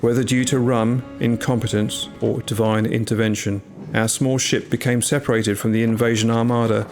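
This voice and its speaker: A man playing a humble English medieval longbowman, telling his story in a solemn, measured, scripted dramatic narration. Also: mid-range voice, flat delivery, close to an everyday voice. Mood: somber, foreboding. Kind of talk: dramatic scripted first-person narration over music. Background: music.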